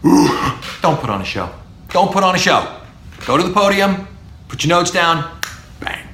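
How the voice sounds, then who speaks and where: A middle-aged man with a baritone voice, lecturing as a speech professor on public speaking, animated and emphatic with low, punchy asides. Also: normal speed, reverberant, slight background noise.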